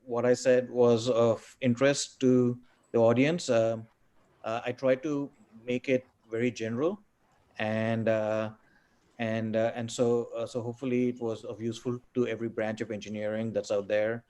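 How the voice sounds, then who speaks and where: Halting Indian-born man on a webinar. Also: mid-range voice, flat delivery, a little mellow. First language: Tamil. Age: middle-aged. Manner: halting, many fillers and repeated words. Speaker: guest speaker. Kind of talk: webinar Q&A answers.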